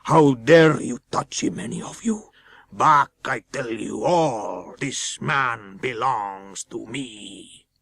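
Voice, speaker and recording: A man voicing a menacing, imperious vampire count in a dramatic audiobook, speaking slowly and forcefully. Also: medium pitch, vocal fry, breathy edge, dry recording, heavily acted. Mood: furious. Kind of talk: dramatic audiobook character reading.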